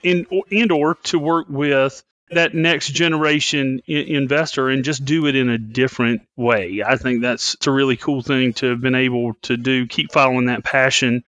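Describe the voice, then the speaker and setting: A man hosting an interview podcast, friendly and measured, often restarting his phrases. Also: mid-range voice, fairly bright tone, no echo. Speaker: podcast host.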